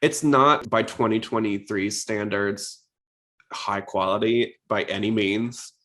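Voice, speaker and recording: A man's voice: lowish voice, fairly even intonation, slow pace, bright, forceful tone. A man hosting a podcast, talking informally and explaining things in a casual style. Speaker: podcast host.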